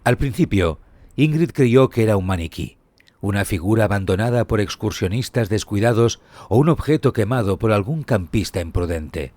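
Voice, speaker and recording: A man hosting a true crime podcast, reading a scripted story in a measured, suspenseful way. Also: on the low side, lively intonation, darkish tone, dry recording. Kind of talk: scripted dramatic storytelling narration.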